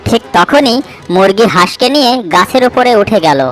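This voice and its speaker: Mid-pitched woman narrating a children's story. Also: animated, normal speed, soft background music.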